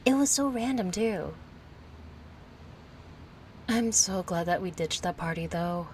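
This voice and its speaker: A woman's voice: fairly low pitch, animated, slow pace, darkish tone, slight background noise. A woman acting a kind, chatty young friend in an intimate audio roleplay, casual, playful and a little hesitant. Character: kind, chatty young female friend, gently yearning for closeness.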